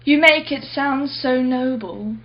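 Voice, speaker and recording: A haughty, wealthy woman collector in a radio drama. Her voice sits fairly high, and she speaks in an arch, witty, defensive way. The sound is slightly dull. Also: slow, exaggerated voice. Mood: arch, probing. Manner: arch, articulate, sardonic. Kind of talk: radio play acting.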